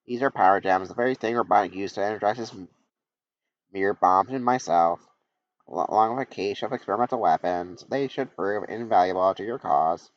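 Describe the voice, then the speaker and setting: A man's voice: fairly low pitch, a little fast, no room sound, lightly acted. A man voicing a matter-of-fact, helpful male robot, in a dull lo-fi recording.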